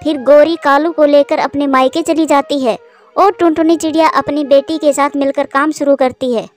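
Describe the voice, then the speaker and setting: A woman's voice: high voice, steady tone, normal speed, soft background music. A woman reading scripted narration for a children's story.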